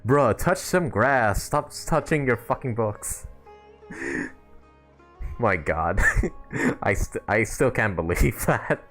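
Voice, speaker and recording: Man, low but lively voice, casual sweary game streamer, giggly amusement, music underneath. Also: slow, pauses often. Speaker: live streamer playing a video game. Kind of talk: casual livestream gaming commentary.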